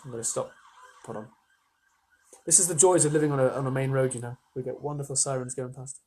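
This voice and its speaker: A man's voice: mid-range voice, fairly even intonation, fast talker, dark tone, breathy edge. A man reading a story aloud as narrator, with casual, informal asides to listeners.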